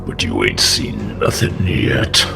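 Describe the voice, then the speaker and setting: Very deep, gravelly reptilian brute. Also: male voice, big pitch swings, music in the background, exaggerated voice. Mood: taunting, smug. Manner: slow, taunting. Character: gnarled reptilian Gorn brawler, male, snarling and smug. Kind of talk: tabletop game character voice.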